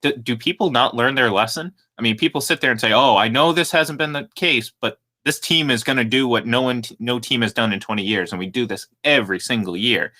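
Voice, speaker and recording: A man hosting a sports talk radio show, energetic and rambling, who laughs easily. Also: mid-range voice, fairly quick, hardly any pauses. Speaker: radio host.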